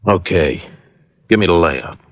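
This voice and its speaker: An actor playing a reluctant, nervous convict accomplice in an old radio crime drama, protesting in prison slang. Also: male voice, low-pitched, lively intonation, unhurried, loud music behind the voice. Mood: wary, eager. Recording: dull old radio recording.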